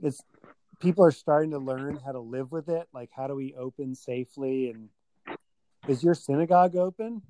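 A man's voice: mid-range voice, normal speed, warm tone, dry recording, low-quality recording. A man hosting a casual podcast chat with a friend, tired after a long day and rambling with many fillers.

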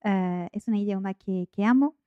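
A woman's voice: mid-range voice, moderate pace, clear, resonant tone, no room sound, muffled recording. A woman from France with a French accent, a native French speaker chatting as an interview guest and searching for words in her second language. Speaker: podcast guest, life coach. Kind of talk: casual interview chat.

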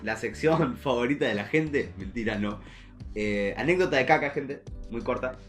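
A young man with a Rioplatense Argentine accent co-hosting a casual podcast, jokey and slangy, telling stories in an animated way. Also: medium pitch, moderate pace, over music. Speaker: podcast co-host.

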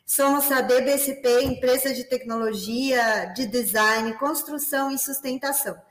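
A woman's voice: high voice, moderate pace, fairly bright tone, roomy sound, low-quality recording. A woman from Peru with a Spanish accent, hosting a corporate webinar in a friendly way and using many fillers. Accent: Peruvian Spanish. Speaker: webinar host, business development manager.

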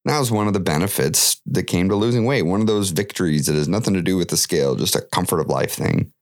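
A man's voice: low-pitched, animated, fast talker, no echo, studio quality. A man hosting his own podcast while fighting a cold, talking in an earnest, friendly, chatty way with lots of fillers. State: has a cold, slightly stuffy. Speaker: podcast host and weight loss coach. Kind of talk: solo podcast monologue.

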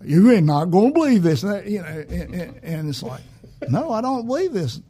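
A man's voice: high-pitched, big pitch swings, brisk, warm tone. A man hosting a casual interview, easygoing and rambling. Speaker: podcast host.

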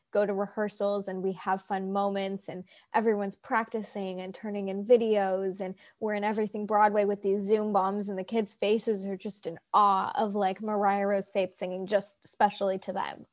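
Osiemnastoletnia kobieta o średnio wysokim głosie opowiada swobodnie, z mnóstwem wtrąceń i powtórzeń. Mówi jak założycielka odpowiadająca na pytania w rozmowie. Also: female voice, mid-range voice. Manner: chatty, filler-heavy storytelling. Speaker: founder of a theater company. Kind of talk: casual interview answer.

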